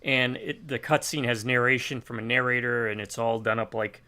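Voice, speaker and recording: A man's voice: medium pitch, steady tone, moderate pace, bright, forceful tone, smooth. A man chatting casually while gaming, telling a long rambling story and acting out bits of dialogue. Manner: rambling storytelling, acts out quotes. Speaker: gamer co-op player.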